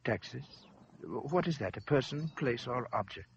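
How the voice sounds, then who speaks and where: A silky, sinister collector. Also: male voice, medium pitch, lively intonation, moderate pace, gravelly, a little hiss or hum. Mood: silky, mocking. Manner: florid, mocking formal speech. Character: sinister suave fat collector, man, middle-aged. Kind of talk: 1940s radio acting. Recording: lo-fi old radio recording.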